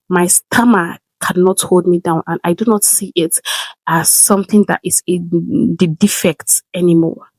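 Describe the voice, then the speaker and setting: A young woman talks slowly and earnestly, addressing a viewer by name. She stammers heavily, repeating and blocking on sounds. Her voice sits close and dry, with no room around it. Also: fairly low pitch, a little mellow, no echo, poor audio. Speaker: content creator. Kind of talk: casual vlog advice.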